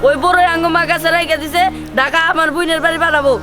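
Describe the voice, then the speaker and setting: Woman acting a shrill, quarrelsome villager. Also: quick pace. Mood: angry, accusing. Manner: scolding, excitable. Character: quarrelsome, accusing villager, easily frightened. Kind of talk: fairy-tale drama dialogue. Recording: music behind the voice.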